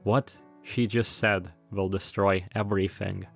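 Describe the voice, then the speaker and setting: A man's voice: on the low side, fairly even intonation, unhurried, creaky, soft background music. A man narrating a scripted plot recap of a story, with casual, informal asides. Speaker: video creator narrating a game retrospective.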